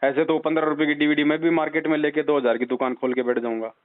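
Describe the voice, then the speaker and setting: A man's voice: a little high, little pitch movement, fast, smooth. A man counselling a caller in an insistent, preaching way, pressing points with rhetorical questions. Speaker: religious devotee counselling a caller. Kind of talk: persuasive phone counselling. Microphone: telephone. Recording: tinny band-limited phone call recording.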